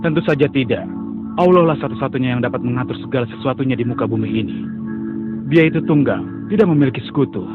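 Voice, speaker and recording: A man's voice: mid-range voice, fast talker, close to an everyday voice. A man voicing an earnest young male prophet who pleads with and admonishes idol worshippers in a dubbed cartoon. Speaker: voice actor. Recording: dull lo-fi audio with music.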